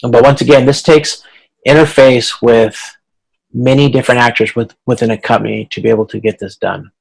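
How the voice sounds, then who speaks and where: A man giving an informal lecture as an instructor on a video call, explaining slides in a halting way with repeated words and restarts. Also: medium pitch, steady tone, vocal fry, husky, poor audio. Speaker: college instructor.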